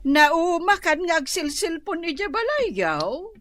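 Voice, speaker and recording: A woman's voice: very high voice, very animated, slow pace, a bit bright, full character voice. A woman acting an elderly mother in a radio drama, scolding and nagging. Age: older.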